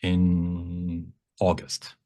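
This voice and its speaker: A man with a deep, soft-edged voice leads a live workshop. He explains step by step in a halting, explanatory manner, letting the ends of phrases trail away. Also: completely flat delivery, a little hiss or hum. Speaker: workshop presenter. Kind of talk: live software workshop teaching with Q&A.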